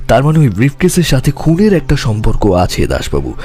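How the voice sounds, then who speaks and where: A man playing a sharp, intense detective in an audio story, speaking in a deliberate, dramatic way. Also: mid-range voice, wildly swooping pitch. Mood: brooding, analytical. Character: sharp, intense male detective reasoning through a murder case. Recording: dramatic music bed.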